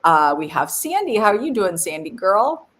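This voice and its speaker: A woman's voice: mid-range voice, sing-song, moderate pace, fairly bright tone. A woman co-hosting a casual live stream, giggly and a bit phlegmy, greeting viewers by name. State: phlegmy, coughing.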